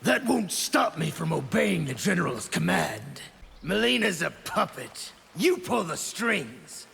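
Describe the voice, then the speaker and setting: Casting: aggressive loyal soldier, video game, very raspy, sharp-edged and forceful. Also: male voice, fairly high pitch, sing-song, a little slow, exaggerated voice. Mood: hostile, accusing. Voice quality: harsh, raspy, gravelly. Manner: aggressive, accusing. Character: hostile, loyal Outworld soldier, fierce adult man. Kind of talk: video game fight-intro dialogue.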